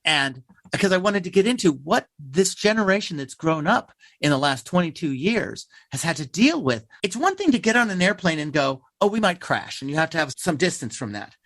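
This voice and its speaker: A man's voice: fairly high pitch, sing-song, fairly quick, fairly bright tone, no echo. A man speaking as a theater playwright in an interview, conversational and emphatic, with wry asides and acted-out imagined quotes. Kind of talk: animated conversational interview answers.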